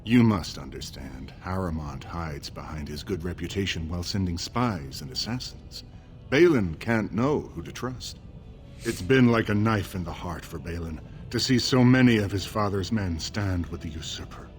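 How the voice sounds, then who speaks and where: An actor playing a scheming dwarf political advisor in a video game, speaking in a formal, persuasive and emphatic manner. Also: male voice, low-pitched, lively intonation, normal speed, soft background music. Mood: persuasive, grave. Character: smooth-talking male dwarf political advisor, scheming, courtly. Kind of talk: video game character acting.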